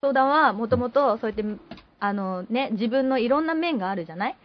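High, lively woman in podcast banter. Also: fairly quick, a little hiss or hum. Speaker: podcast performer playing a bar customer. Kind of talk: casual conversational banter. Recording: dull, lo-fi podcast audio. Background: background music.

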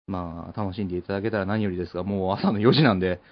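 A man's voice: sits low, racing. A man hosting a casual radio show, bantering and throwing in frequent interjections. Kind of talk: casual joking radio chat. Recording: muffled lo-fi audio with music behind. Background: background music.

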